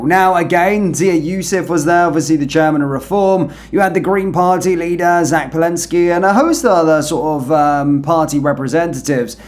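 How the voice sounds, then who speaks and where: A man hosting a reaction channel, talking casually to his viewers as he comments. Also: fairly high pitch. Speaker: YouTube host reacting to clips.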